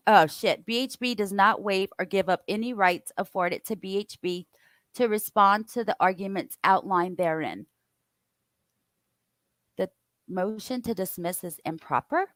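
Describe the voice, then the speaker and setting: Woman, steady careful reader of legal filings, dry close sound, mid-pitched. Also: no echo. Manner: steady, careful reading with occasional stumbles and asides. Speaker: host reading court documents. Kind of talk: reading legal filings aloud with casual commentary.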